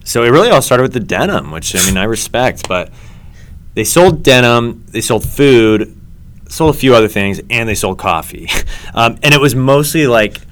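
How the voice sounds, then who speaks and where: A comedy host telling stories in a casual chat, rambling and halting with many ums and restarts. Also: male voice, mid-range voice, animated, fairly bright tone.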